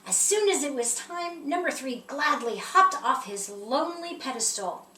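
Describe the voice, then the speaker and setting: A woman reading a children's picture book aloud in an expressive storytelling style. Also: sits high, normal speed. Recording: roomy echo, distant mic, faint noise.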